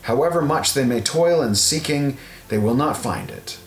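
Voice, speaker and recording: A man narrating an audio Bible, reading scripted scripture in a measured, solemn recitation. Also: medium pitch, animated. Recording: roomy echo, guitar music underneath.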